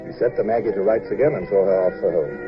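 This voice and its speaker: An actor playing an imperious, irascible naval commander with a dry humour, in brisk old radio drama style. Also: male voice, lowish voice, over music, natural voice. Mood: measured narrating. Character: imperious, irascible British naval commander at sea, dryly humorous. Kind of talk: 1950s radio drama acting, first-person narration and dialogue. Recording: dull, hissy old radio broadcast recording.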